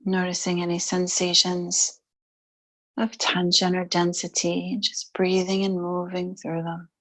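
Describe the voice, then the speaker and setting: A woman leading guided relaxation and gentle yoga, speaking in short, soothing, unhurried phrases with long pauses between them. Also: fairly low pitch, completely flat delivery, low-quality recording. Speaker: yoga nidra and stretch instructor.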